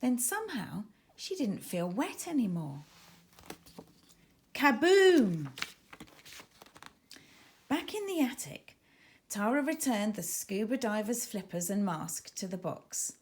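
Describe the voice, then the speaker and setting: A woman's voice: mid-range voice, sing-song, takes it slowly, long pauses, a little mellow. A woman narrating a children's story expressively, with dramatic emphasis as she reads. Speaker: author reading own children's book.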